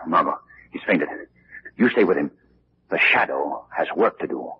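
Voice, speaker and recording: An actor playing a shrewd, commanding detective with clipped urgency in a 1940s radio mystery style. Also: male voice, very deep voice, expressive, normal speed. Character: composed, commanding amateur detective, shrewd man. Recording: dull old radio recording, faint noise.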